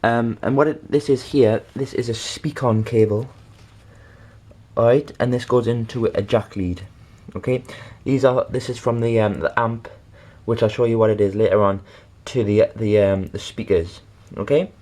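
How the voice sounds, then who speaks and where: A man presenting an informal how-to demonstration, halting and rambling, often checking in with the listener. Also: medium pitch, steady tone, dark tone. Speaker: video tutorial presenter.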